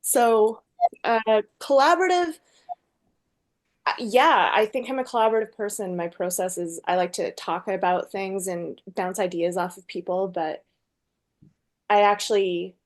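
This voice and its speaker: Woman director from Canada, mid-range voice, measured, factual delivery, video call. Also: mid-range voice. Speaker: film director and writer. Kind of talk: casual interview over video call.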